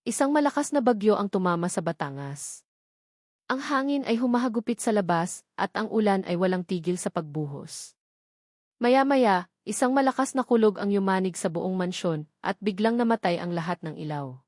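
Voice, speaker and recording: Woman, storyteller, scripted dramatic narration, mid-range pitch, close dry recording. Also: lively intonation, normal speed, a little mellow, no room sound.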